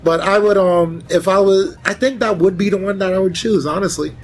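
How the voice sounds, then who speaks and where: A man's voice: high voice, a little fast. A man chatting casually as a panelist in a fan discussion. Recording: faint music behind voice. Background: music.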